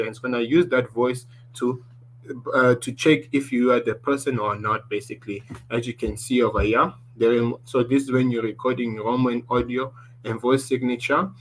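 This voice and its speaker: Man explaining tech with a deep voice. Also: low-quality recording. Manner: explaining slides, restarts often, ends points with "basically". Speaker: presenter. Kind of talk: online tech lesson.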